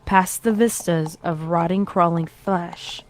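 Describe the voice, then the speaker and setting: A woman's voice: lowish voice, steady tone, takes it slowly, a little hiss or hum. A woman reading a horror story as its narrator, in a dramatic scripted style. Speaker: fan fiction narrator. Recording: music behind the reading.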